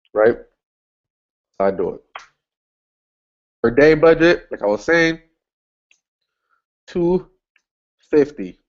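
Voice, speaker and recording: Man, casual tutorial voice, thinks aloud, restarts often, mid-pitched, muffled lo-fi recording. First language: English. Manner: chatty, thinks aloud, frequent restarts and "boom". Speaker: online marketing instructor. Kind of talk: casual screen-share tutorial.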